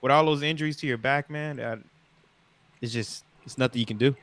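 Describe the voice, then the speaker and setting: A blunt man co-hosts a casual online sports debate. He talks at an ordinary middle pitch. His talk is slangy and dismissive, and it comes through a home condenser mic. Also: fairly quick, a bit bright, dry recording.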